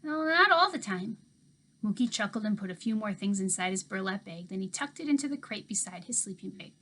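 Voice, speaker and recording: A woman teacher reading a children's novel aloud to her class with expressive storybook delivery. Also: mid-range voice, quick pace.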